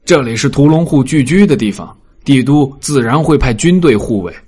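A man voicing a deferential, reassuring steward in an audiobook, polite and explanatory, with a husky voice. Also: medium pitch, lively intonation, normal speed, darkish tone. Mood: amiably explaining.

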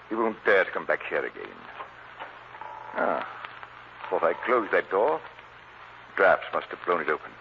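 An actor playing a weird, frightened old swindler with a nervous stammer, in old radio drama style. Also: male voice, low-pitched, very animated, measured pace, creaky, pauses often, full character voice. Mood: muttering, gloating. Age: older. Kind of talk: 1940s radio drama acting. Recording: muffled, noisy old radio recording.

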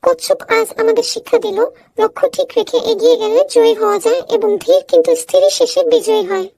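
A woman voicing a small animal race judge in a children's cartoon, announcing and gently moralizing. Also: very high voice, fairly even intonation, quick pace, no echo, low-quality recording. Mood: triumphant, instructive.

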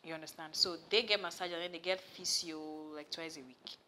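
A young woman with a Nigerian accent, talking in an interview on a studio set. Her voice sits low but sounds bright and forward. She tells her stories with animated bursts, and there is a faint hiss in the background. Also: fairly quick. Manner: animated storyteller, rises loud and high when excited. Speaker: massage therapist, guest. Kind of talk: casual interview chat. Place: studio set with sofa, indoors.

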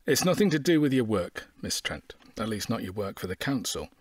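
Police inspector, husky lowish voice, dry and probing, audio drama. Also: male voice, big pitch swings, fairly quick, no room sound. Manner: probing, dryly sceptical. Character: brisk, sceptical male detective inspector.

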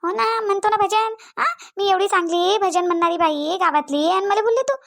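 Casting: woman voicing a boastful middle-aged village singer, very high and shrill, bragging and indignant, Vidarbha Marathi accent, cartoon. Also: moderate pace, vocal fry, fairly bright tone, exaggerated voice. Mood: indignant boasting. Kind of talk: cartoon voice acting.

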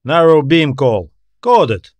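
A man's voice: fairly high pitch, sing-song, takes it slowly, darkish tone, no echo. A man voicing a friendly, inquisitive male space station worker in audiobook dialogue, casual and questioning. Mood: casual, curious.

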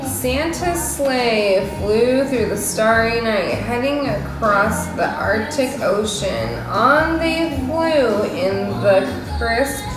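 Mom reading a picture book aloud, lively pitch, unhurried, very echoey room with music. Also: female voice. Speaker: mother reading to her daughter. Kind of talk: expressive bedtime-story reading. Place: living room sofa, indoors. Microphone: camera a few feet away.

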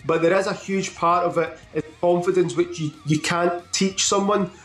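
High-voiced man hosting, rambling questions. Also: steady tone, normal speed, a bit bright, soft background music. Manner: rambling, builds up questions at length. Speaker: interview host. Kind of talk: casual interview questions. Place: home room, on a video call. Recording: echoey video call audio.

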